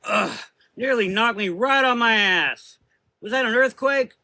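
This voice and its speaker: A man's voice: extremely high pitch, measured pace, vocal fry, bright, forceful tone, slight background noise, voice pushed up, full character voice. A man voicing a loyal, blunt young woman warrior who talks earnestly and informally. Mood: startled.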